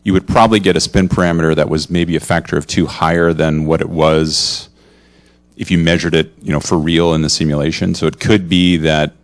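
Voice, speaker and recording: A man's voice: rumbling low, little pitch movement, brisk. A man speaking as a scientist in a conference discussion, informal and rambling, qualifying and repeating his phrases as he goes. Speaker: scientist commenting from audience.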